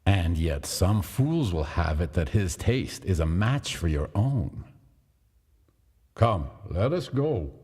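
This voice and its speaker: Man, very deep voice, measured dramatic storytelling, gothic tale, long reverb. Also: sing-song, warm tone, husky. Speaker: narrator.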